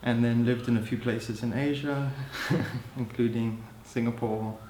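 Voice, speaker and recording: A man in his thirties who grew up in Hong Kong, speaking as an interviewee in a thoughtful, musing way, often adding "you know" and soft laughs. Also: mid-range voice, steady tone, a little slow, a little hiss or hum. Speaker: interviewee, social anthropologist. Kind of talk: reflective personal account. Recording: roomy echo.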